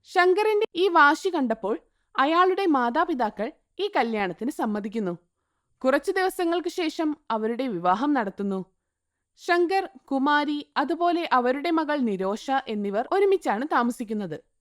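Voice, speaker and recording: A woman narrating a scripted moral story in an expressive, sing-song way. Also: high-pitched, measured pace, no echo, studio quality.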